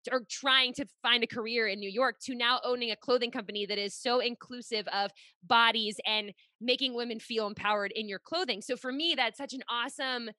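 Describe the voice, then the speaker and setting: A woman's voice: on the high side, a little fast, few pauses, bright, forceful tone, no room sound. Woman, podcast host, effusive, casual, dry close sound. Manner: chatty, effusive, lots of "like" fillers.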